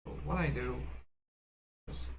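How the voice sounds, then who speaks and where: A man giving a casual, unscripted how-to demonstration, speaking haltingly with frequent fillers and self-corrections. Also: medium pitch, creaky, noisy background. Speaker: tutorial presenter.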